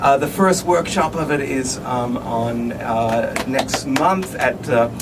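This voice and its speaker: A man from Australia with an Australian accent, talking about his life in a casual street interview and often stopping to restart with fillers. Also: mid-range voice. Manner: halting, many restarts and fillers. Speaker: interviewee, filmmaker. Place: outdoors at a street event. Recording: distant mic, loud street noise.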